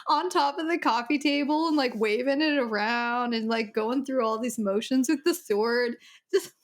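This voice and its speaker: A woman's voice: a little high, normal speed. A woman co-hosting a casual book discussion in a chatty, loosely strung way, peppered with "like". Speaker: podcast co-host.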